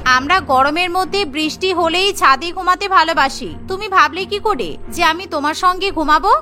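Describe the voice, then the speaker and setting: A woman's voice: extremely high pitch, moderate pace, pushed and bright, soft background music. A woman voicing a carefree, breezy young sister-in-law in a cartoon story. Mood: dismissive.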